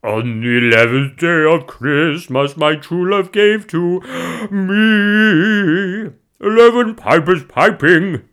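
A man's voice: high voice, very animated, unhurried, pushed and bright, pitched above the performer's own voice, exaggerated voice. A man voicing a boisterous, boyish husband in a comic story, theatrical and showing off. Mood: grandly singing. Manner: theatrical, exclamatory, sing-song.

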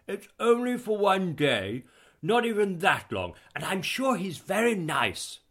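Man acting a flustered older dad, loud and high. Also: measured pace, breathy edge, heavily acted. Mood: placating. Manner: blustering, excitable, protesting. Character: older husband and father, flustered, gleeful, childish.

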